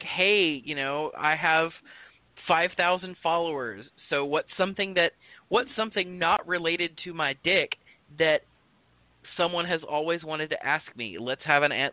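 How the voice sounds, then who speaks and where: A man's voice: a little high, moderate pace, dry recording. A man in his thirties, co-hosting a podcast in casual chat, rambling frankly with lots of fillers. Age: 30s. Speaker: podcast co-host. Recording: tinny phone-like call audio, dry.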